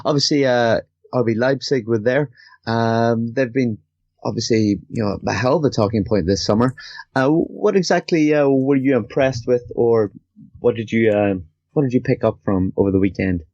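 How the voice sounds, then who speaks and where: A man's voice: mid-range voice, moderate pace, a little mellow, dry recording. A man hosting a casual football podcast, conversational and steering the discussion among panellists. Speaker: podcast host. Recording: slightly dull, dry audio.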